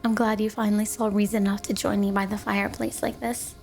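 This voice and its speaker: A woman's voice: mid-range voice, level tone, fast, gravelly, faint music behind, close to an everyday voice. A woman playing a flirty, doting, obsessive snow woman wife in an intimate ASMR roleplay, teasing and coy.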